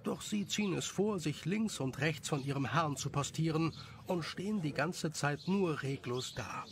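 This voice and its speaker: Male storyteller with a creaky edge, scripted narration over noticeable ambience. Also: on the high side, moderate pace, slight background noise.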